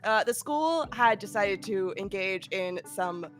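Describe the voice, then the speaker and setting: A woman's voice: medium pitch, lively intonation, slow pace, soft background music. A woman game master with a cold and a nasal voice, recapping the last session in a chatty, giggly way with many fillers. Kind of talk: narrating a tabletop game recap.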